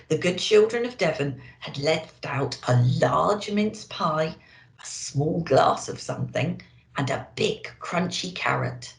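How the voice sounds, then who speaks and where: A woman reading a picture book to children as a storyteller, in an expressive and deliberate way. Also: medium pitch, gravelly, reverberant. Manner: deliberate, animated, addressing children.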